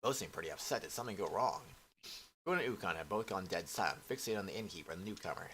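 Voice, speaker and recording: A man streaming a game, reading its story text aloud in a halting way with self-corrections and casual asides. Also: low voice, lively intonation, fairly bright tone, a little hiss or hum. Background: game music.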